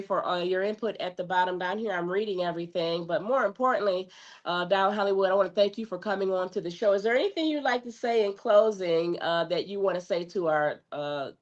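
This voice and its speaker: A woman hosting a live talk show interview, friendly and quick to laugh, sounding stuffy from a cold. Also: mid-range voice. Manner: friendly, laughs often, effusive thanks. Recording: dull lo-fi stream audio.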